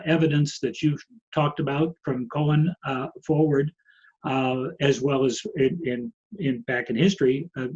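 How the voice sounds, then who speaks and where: A man hosting an interview, asking long halting questions full of fillers and restarts. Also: mid-range voice, a little mellow. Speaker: interviewer host. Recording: husky, dull lo-fi audio.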